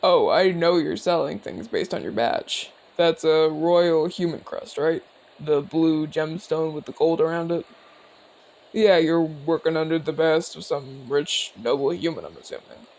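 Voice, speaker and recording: A man's voice: on the high side, a little slow, creaky, a little mellow. A man playing a seasoned, cocky but easygoing orc commander in an audio roleplay, talking in a casual, teasing, explanatory way. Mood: confident, explaining. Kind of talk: ASMR audio roleplay acting.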